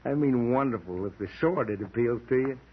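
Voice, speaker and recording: A man's voice: medium pitch, lively intonation, normal speed, close to an everyday voice. An actor playing a flippant, gleeful henchman with teasing menace in an old-time radio crime drama. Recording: tinny, noisy old radio recording.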